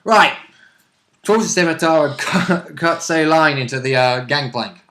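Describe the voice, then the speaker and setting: A man's voice: on the high side, normal speed, pushed and bright. A British man who plays in a tabletop role-playing game, chatting casually in a wry, irreverent way. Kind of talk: casual chat.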